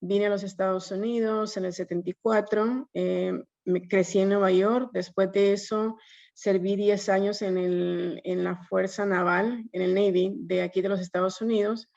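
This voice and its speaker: A woman's voice: medium pitch, steady tone, moderate pace, creaky, darkish tone. A woman in her fifties from Guatemala, a mortgage loan officer introducing herself on a video call, talking conversationally and dropping in English fillers. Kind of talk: webinar self-introduction. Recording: dull lo-fi video call audio.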